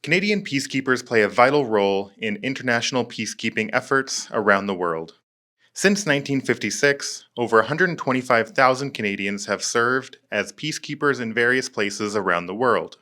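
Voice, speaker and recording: A man's voice: medium pitch, lively intonation, a little fast, sharp tone. A man presenting a newscast segment in a formal scripted manner. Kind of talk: scripted announcement.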